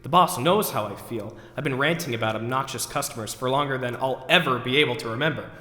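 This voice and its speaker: A man's voice: medium pitch, fast talker, pushed and bright, close to an everyday voice. A man acting out the theatrical internal monologue of an overwrought, neurotic man who questions himself, recorded in an echoey hall. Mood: reflective. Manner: ranting, then talking himself down. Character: overwrought, neurotic man agonizing over forgotten groceries, self-questioning. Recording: echoey hall with long reverb.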